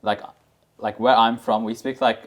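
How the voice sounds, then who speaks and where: A man's voice: mid-range voice, flat delivery, moderate pace. A young man with an Australian accent, co-hosting a casual group podcast. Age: young adult. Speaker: podcast co-host.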